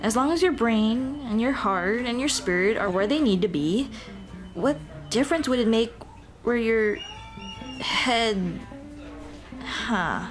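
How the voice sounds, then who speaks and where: A woman playing a shy, earnest Dullahan schoolteacher in an ASMR roleplay, speaking softly and expressively. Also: a little high, expressive, slow pace, pauses often, soft background music. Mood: earnest, warm. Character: shy, earnest female Dullahan teacher, headless monster girl on a date.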